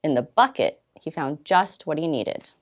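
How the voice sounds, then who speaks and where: A woman with a slightly low voice reads a children's storybook aloud. Her intonation is very animated, and she uses dramatic pauses and stretched words to carry the story. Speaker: volunteer storytime reader.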